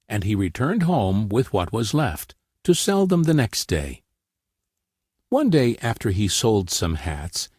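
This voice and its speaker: A man's voice: fairly low pitch, darkish tone, breathy edge, dry recording, clean studio sound. A man narrating scripted fairy tales for children as a storyteller.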